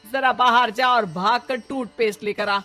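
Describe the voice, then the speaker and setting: Give me a woman acting a loud, scolding stepmother. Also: fairly high pitch, steady tone, a little slow, soft background music. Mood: scornful, bossy. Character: scheming, greedy, scornful middle-aged stepmother.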